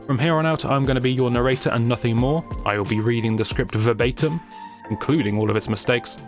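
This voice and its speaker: A man narrating a scripted story in a wry, theatrical manner with deliberate phrasing. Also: medium pitch. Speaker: creator and narrator. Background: music.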